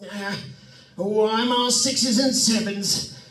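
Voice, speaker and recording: A man's voice: high-pitched, steady tone, slow pace, fairly bright tone. A man playing a fretful, anxious fellow in an old-time radio drama, hesitant and full of worried questions. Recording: roomy, distant-miked stage recording.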